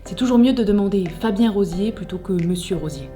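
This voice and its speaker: A woman's voice: mid-range voice, a little fast, mellow, roomy sound, soft background music. A woman presenting a scripted tutorial in a direct, conversational way with wry, playful humour. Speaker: presenter of job-search tutorial.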